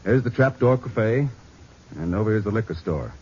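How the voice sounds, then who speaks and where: An actor as a stern police chief. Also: male voice, on the low side, lively intonation, normal speed, a little mellow, slight background noise, natural voice. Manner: firm, commanding. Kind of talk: 1940s radio acting. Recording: dull lo-fi 1940s radio recording.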